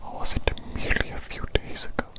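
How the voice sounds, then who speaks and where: A woman voicing an eccentric, theatrical evil doctor in a breathy whisper for an ASMR medical roleplay, often repeating words and phrases. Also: fast, lightly acted. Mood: fussy, delighted.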